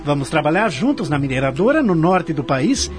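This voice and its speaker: A man's voice: on the high side, big pitch swings, moderate pace, faint music behind. An actor playing a cocky, envious young manager in his late twenties in a radio soap opera. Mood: irritated, scornful.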